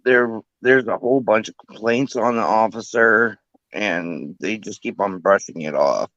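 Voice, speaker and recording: A man, a panel guest, explaining calmly with fillers and restarts over a remote call. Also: lowish voice, unhurried, no room sound, lo-fi sound. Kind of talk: casual panel talk.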